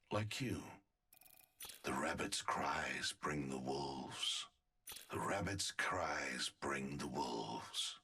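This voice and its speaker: Sadistic game villain, rock-bottom pitch, very husky rasp, cold, condescending, deliberate. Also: male voice, sharp tone, heavily acted. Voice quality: very deep, raspy. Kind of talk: video game character voice lines.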